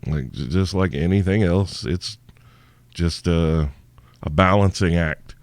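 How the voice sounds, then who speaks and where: A man with a very low voice riffs through listener questions, crude and irreverent, rambling from one joke to the next. He sounds sleepy and worn out. The recording is dry and close, with no room sound. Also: slow, no echo. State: sleepy, tired. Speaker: podcast host. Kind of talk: crude solo Q&A podcast.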